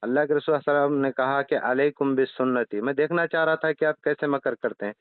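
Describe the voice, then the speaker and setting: A man's voice: medium pitch, steady tone, quick pace, clean tone, no echo. A man in a heated religious debate on a phone call, argumentative and insistent, often cutting in. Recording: thin phone call recording.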